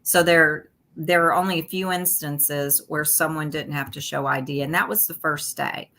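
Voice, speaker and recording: A woman's voice: low voice, steady tone, moderate pace, very noisy. A woman, a guest on a talk show, explaining election rules steadily while stuffed up from allergies.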